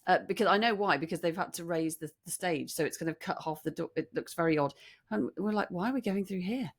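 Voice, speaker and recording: A British woman co-hosting a podcast in casual chat, telling stories in an animated, teasing way. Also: lowish voice, fast, breathy edge. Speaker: podcast co-host. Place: room in central London.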